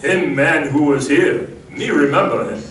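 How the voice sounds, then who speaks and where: A man playing Tonto, slow, solemn western sidekick. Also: a little high, fairly bright tone. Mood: urgent. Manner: clipped pidgin phrasing, solemn. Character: Tonto, the masked man's loyal Native sidekick, man. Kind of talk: old-time radio western acting. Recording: echoey room from far off, faint noise.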